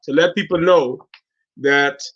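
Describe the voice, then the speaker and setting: A Guyanese man in his fifties speaks with a high, bright, forceful voice. He tells his stories in an animated, heartfelt way and keeps restarting his sentences. The sound is dull and lo-fi. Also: slow pace. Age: 50s. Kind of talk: casual talk show chat.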